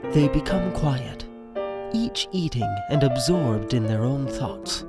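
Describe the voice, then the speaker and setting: A man's voice: medium pitch, expressive, slow pace. Male narrator with music behind. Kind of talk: scripted audio drama narration. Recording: music under the voice.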